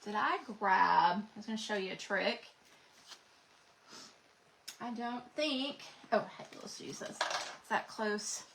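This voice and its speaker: Bright-voiced woman crafting live. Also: mid-range voice, lively intonation, slow pace, gravelly, long pauses, a little hiss or hum. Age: 40s. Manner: chatty, folksy, talks to viewers as "y'all". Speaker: craft channel host.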